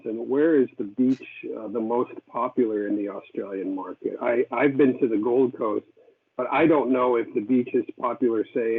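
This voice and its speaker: A man moderating a video call puts a question to the speaker, inquisitive and a little hesitant. His voice is husky, mid-pitched, and comes through a narrow, tinny phone-like line.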